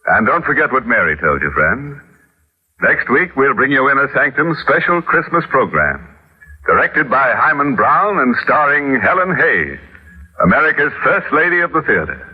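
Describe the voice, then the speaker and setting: An actor playing a sardonic, ghoulish mystery host with a teasing, mock-sinister manner in 1940s radio style. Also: male voice, mid-range voice, very animated, moderate pace, slightly rough. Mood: wry, genial. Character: ghoulish, sardonic male horror-show host who relishes morbid jokes. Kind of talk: 1940s radio horror hosting. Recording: reverberant, slightly muffled old radio broadcast.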